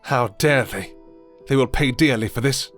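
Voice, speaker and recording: A man's voice: mid-range voice, measured pace, fairly bright tone, faint music behind, natural voice. A man playing a commanding vampire lord who is tender toward his guest. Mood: indignant. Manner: regal, imperious.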